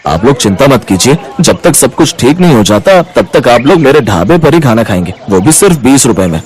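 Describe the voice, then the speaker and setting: A man's voice: mid-range voice, very animated, brisk, a little mellow, natural voice. A man voicing a kind, humble village eatery owner in storybook dialogue. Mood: reassuring. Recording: dry studio voice over music.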